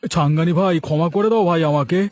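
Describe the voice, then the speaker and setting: A man voicing an excitable village shopkeeper who cheats and repents in a moral cartoon, earnest and given to exclaiming. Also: fairly high pitch, fast, faint music behind, natural voice. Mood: remorseful. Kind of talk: Bengali moral cartoon acting.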